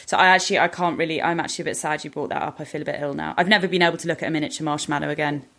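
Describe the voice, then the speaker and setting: British female co-host, fairly low voice, informal storytelling chat. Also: fairly even intonation, very fast, a bit bright. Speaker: podcast co-host. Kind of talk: casual chat, personal anecdotes.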